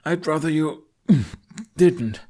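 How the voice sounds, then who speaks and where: A man voicing a gruff, worried young Viking oarsman, grunting and strained, in an audiobook. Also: a little high, expressive, extremely slow, warm tone, pitched above the performer's own voice, full character voice.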